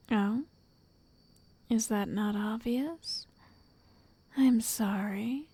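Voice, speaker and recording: A woman playing a possessive, lovestruck witch who coaxes and controls in a soft, breathy, often whispered ASMR roleplay voice. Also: a little high, dry recording, full character voice. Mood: doting, possessive. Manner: slow, tender, condescending endearments.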